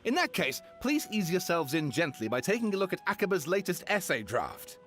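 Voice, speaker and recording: A man's voice: sits high, sing-song, a little fast, a bit bright, faint music behind. An actor voicing an eager, theatrical male writer in a video game, dramatic and showy in delivery. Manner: dramatic, showmanlike. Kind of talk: game voice acting.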